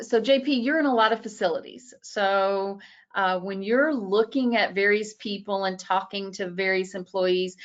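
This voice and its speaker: A woman's voice: medium pitch, fairly bright tone, highs cut off. Woman moderating, full of fillers. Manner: many fillers, you know and uh. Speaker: panel moderator. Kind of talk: moderating a webinar panel.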